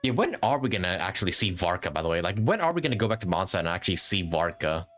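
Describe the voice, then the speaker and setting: A man's voice: low voice, very animated, very fast, fairly bright tone, faint music behind. A man doing casual, informal live commentary as he streams a video game. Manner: informal, swearing, chatty. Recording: faint noise behind.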